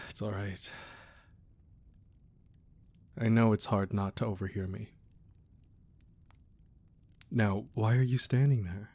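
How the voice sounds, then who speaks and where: Man playing crime boss, lowish voice, brooding, controlled, weary menace with a tender side, close ASMR roleplay drama. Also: fairly even intonation, unhurried, a little mellow, slightly rough. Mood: gentle, weary. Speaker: audio roleplay voice actor.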